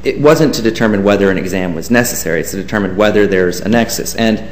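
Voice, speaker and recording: A man's voice: on the low side, fast talker. A man giving a formal, deferential courtroom argument as an attorney. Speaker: government attorney. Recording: echoey courtroom with faint hiss.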